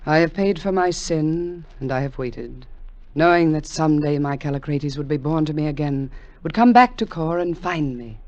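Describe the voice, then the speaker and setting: Woman playing a low-voiced imperious immortal queen. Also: normal speed, a little mellow, lightly acted. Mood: solemn longing. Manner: solemn, formal, imperious. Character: ageless, veiled immortal queen, commanding and lovesick. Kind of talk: 1940s radio drama acting. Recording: dull, muffled 1940s radio recording.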